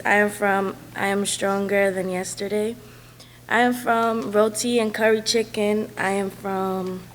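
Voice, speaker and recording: A young woman from Trinidad recites a personal poem as a student. She goes slowly and haltingly, phrase by phrase. There is faint noise behind her. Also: mid-range voice. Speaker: student performer.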